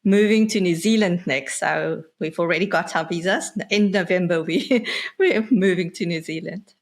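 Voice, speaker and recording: A South African woman in her thirties with an Afrikaans accent, a podcast guest chatting casually over a video call, hesitant with many fillers and soft laughs. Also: fairly low pitch, normal speed. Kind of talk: casual interview chat. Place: home office, indoors.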